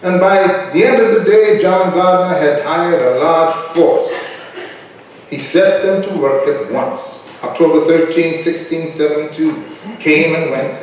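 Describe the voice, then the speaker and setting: A man's voice: fairly high pitch, a little mellow, close to an everyday voice. A man reading scripted historical narration in a staged reading. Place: staged reading in a reverberant venue. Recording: echoey room from far off, noisy.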